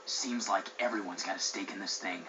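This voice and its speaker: A man's voice: sits low, fairly quick, lightly acted. A man playing a serious, suspicious young hero racer in a video game, with understated, terse delivery. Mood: guarded, serious. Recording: dull game audio, loud music behind.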